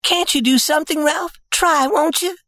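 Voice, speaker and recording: A man's voice: extremely high pitch, moderate pace, bright, forceful tone, no room sound, voice pushed up. A man voicing a playful, hopeful young woman in an audiobook story. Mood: pleading. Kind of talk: audiobook character voice.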